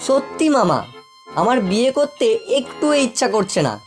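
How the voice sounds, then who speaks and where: A sly, greedy cartoon fox, voiced by a woman, scheming and wheedling in a fairly high voice. The delivery is expressive children's storybook acting, with music clearly underneath. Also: normal speed. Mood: sly, coaxing. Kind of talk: animated children's folktale acting.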